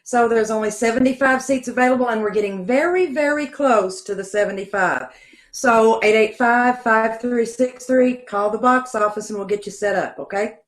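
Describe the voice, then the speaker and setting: Woman with a highish voice on a zoom call, echoey room, brisk and practical. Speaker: theater co-producer. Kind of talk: casual announcements on a video call. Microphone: video call from home.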